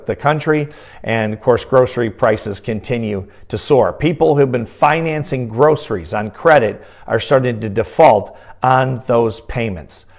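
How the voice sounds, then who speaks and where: A man's voice: mid-range voice, vocal fry. A man giving urgent commentary in a grave, emphatic, warning manner. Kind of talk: urgent economic commentary to camera.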